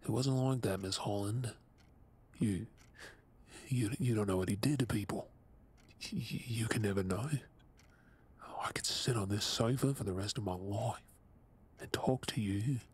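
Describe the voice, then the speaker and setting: Man as a slow, breathy, haunted survivor. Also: fairly low pitch. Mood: haunted, defensive. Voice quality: husky, breathy. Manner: halting, subdued, stammering. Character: haunted, guilt-ridden young male lab assistant and survivor. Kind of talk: audio drama acting.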